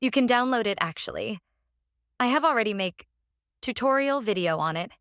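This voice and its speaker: A woman's voice: a little high, expressive, normal speed, fairly bright tone, dry recording. Woman walking through a tutorial, dry sound. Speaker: tutorial presenter. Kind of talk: casual software tutorial narration.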